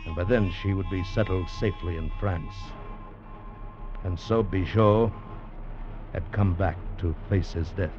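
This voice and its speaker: A low, grave male voice plays a convict recounting a penal-colony tale. The delivery is measured and dramatic in old radio-drama fashion. It comes through a dull vintage recording with prominent music behind it. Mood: somber, ominous. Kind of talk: 1940s radio drama narration and acting.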